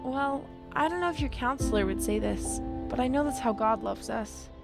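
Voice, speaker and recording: An actress playing an earnest, gentle girl who talks kindly to her friend. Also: on the high side, lively intonation, normal speed, creaky, over loud music.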